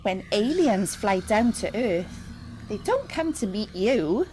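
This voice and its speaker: A woman reading a picture book aloud to young children, expressive and speaking straight to her listeners. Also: medium pitch, slow pace, slight background noise, low-quality recording. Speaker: storyteller and teacher reading to children. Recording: music under the voice. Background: music and sound effects.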